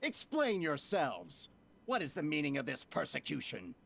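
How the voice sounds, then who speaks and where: An actor playing an arrogant, threatening merchant boss in a game. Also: male voice, a little high, wildly swooping pitch, moderate pace, vocal fry, a bit bright, slightly rough, heavily acted. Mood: accusing. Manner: haughty, threatening. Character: arrogant, menacing middle-aged male merchant boss.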